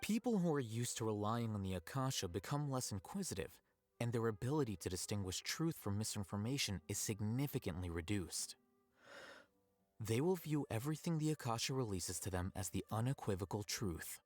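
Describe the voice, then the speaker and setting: An actor playing a composed, calculating young scholar in a video game, explaining things in a measured, cool way. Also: male voice, on the low side, expressive, a little mellow, dry recording, soft background music. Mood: calm, explanatory.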